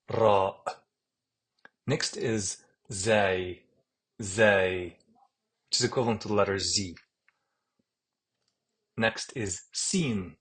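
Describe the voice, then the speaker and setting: Man, Palestinian accent, alphabet teacher, slow and patient, repeats each letter, husky. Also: lowish voice, a bit bright. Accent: Palestinian Arabic. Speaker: Arabic teacher. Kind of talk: screen-share alphabet lesson.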